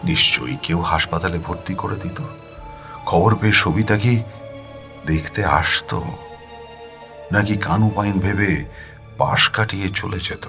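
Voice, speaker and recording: A man narrating a first-person story dramatically, with an expressive, weary, plaintive delivery. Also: low-pitched, a little slow, pauses often, faint music behind. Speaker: audiobook narrator.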